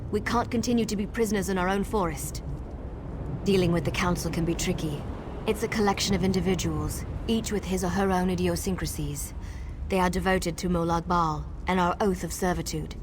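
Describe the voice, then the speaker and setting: An actress playing an earnest Wood Elf woman in a video game, speaking with urgent, deliberate care for her people. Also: lowish voice, background noise, natural voice. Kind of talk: video game quest dialogue.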